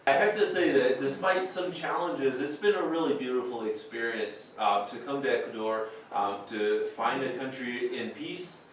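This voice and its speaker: A man's voice: medium pitch, normal speed, few pauses. A man giving a translated voice-over, speaking plainly and measuredly with some fillers. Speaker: voice-over interpreter. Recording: very echoey, distant, faint noise.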